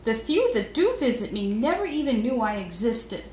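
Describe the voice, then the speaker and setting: A woman's voice: a little high, very animated, moderate pace, darkish tone. Lady doing a sad forgotten statue woman from a kids storybook, plaintive n emphatic, echoey room, bit of noise. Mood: sobbing, aggrieved. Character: forlorn statue woman, weeping and aggrieved at being forgotten. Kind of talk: children's storybook read-aloud. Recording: roomy echo, faint background noise.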